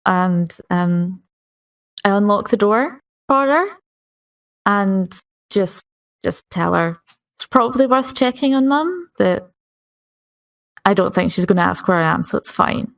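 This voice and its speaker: A woman's voice: mid-range voice, animated, measured pace, vocal fry, long pauses. A woman playing a tabletop roleplaying game, speaking casually as her character. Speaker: player.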